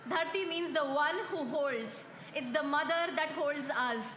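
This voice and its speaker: A woman's voice: sits high, unhurried, fairly bright tone, echoey room, a little hiss or hum. A young woman from India, a film director, giving an earnest award acceptance speech on an outdoor stage. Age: young adult. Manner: earnest, heartfelt.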